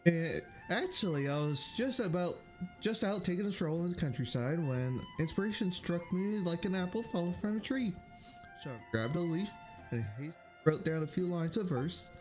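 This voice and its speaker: A man's voice: sits high, expressive, normal speed, poor audio. A man voicing a playful, poetic wandering bard, reading game dialogue aloud with whimsical phrasing and small stumbles. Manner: whimsical, flowery, stumbles over read lines. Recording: game music behind.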